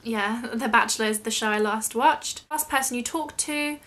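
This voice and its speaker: A woman's voice: on the high side, normal speed, fairly bright tone. A young British woman chatting casually in a question-and-answer vlog, rambling a little and self-deprecating. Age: young adult. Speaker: YouTube vlogger.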